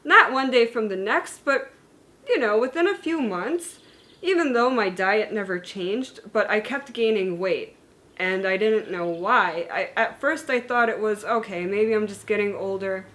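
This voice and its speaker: A woman's voice: medium pitch, expressive, a little slow, fairly bright tone. A woman in her thirties, born in Germany and raised in the United States and Hungary, talking candidly to camera about her own story, with fillers and self-corrections. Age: 30s. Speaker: vlogger sharing her illness story. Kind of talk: personal talk to camera.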